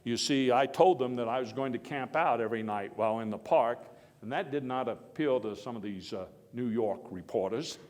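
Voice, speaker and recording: A man's voice: mid-range voice, darkish tone, lightly acted. Man playing a folksy old-time statesman in an echoey hall. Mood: reminiscing. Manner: folksy, halting, with oratorical emphasis and wry asides. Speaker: historical reenactor. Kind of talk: live first-person historical monologue, speech to an audience. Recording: echoey hall with long reverb.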